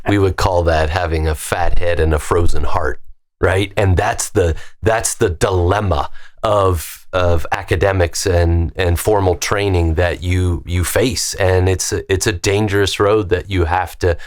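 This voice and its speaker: A man's voice: deep voice, steady tone, unhurried. A man with a West Virginian accent, a pastor talking on a podcast and driving his points home with strings of emphatic rhetorical questions. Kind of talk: podcast discussion.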